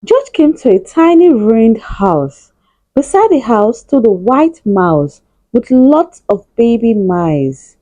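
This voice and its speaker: A woman's voice: fairly high pitch, sing-song, slow, mellow, dry recording, lo-fi sound. A woman narrating a children's picture book in a read-aloud style. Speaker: storybook reader.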